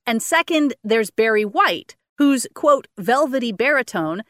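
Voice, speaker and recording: A woman with a fairly low voice for a woman, co-hosting a chatty talk show, lively and often laughing. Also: a little slow, dry recording. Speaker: podcast co-host. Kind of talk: casual chat presenting a topic.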